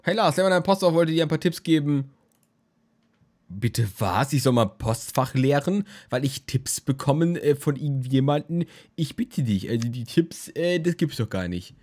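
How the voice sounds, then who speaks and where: A man's voice: fairly high pitch, animated, normal speed, a little mellow. A tired young man who just woke from a nap, casually commentating a browser game with crude jokes, recorded at a desk mic in a room at home. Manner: rambling, jokey, crude humor. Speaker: let's play host.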